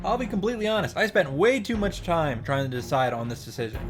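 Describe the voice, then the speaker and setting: A man's voice: fairly high pitch, lively intonation, fast. A man giving a narrated recap with comic first-person commentary, informal, sweary and mock-exasperated in manner. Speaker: host of a visual novel reaction and summary show. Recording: faint music behind the voice. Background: faint background music.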